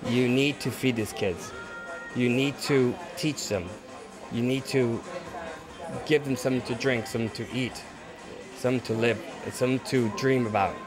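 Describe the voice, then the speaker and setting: A painter and photographer from Cuba giving short, blunt, earnest answers in an interview at an outdoor art event. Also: male voice, medium pitch, moderate pace. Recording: crowd chatter and music behind.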